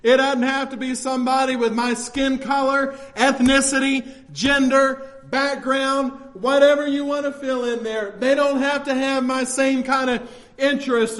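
High-pitched American preacher, emphatic and folksy. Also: male voice, a bit bright. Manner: emphatic, exhortative, folksy delivery. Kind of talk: impassioned church sermon.

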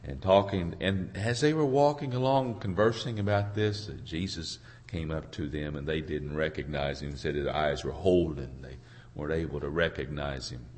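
A hoarse man preaching an informal sermon in a halting, folksy way, searching for his words and clearing his throat. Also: very deep voice, a little mellow. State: hoarse from a cold. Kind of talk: informal sermon with congregation responses.

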